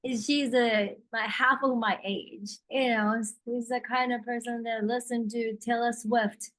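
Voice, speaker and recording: Slow-talking woman with a worn voice. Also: a little high, steady tone, fairly bright tone, no room sound. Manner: slow, halting, non-native phrasing with frequent laughs. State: losing her voice. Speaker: podcast co-host. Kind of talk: casual chat.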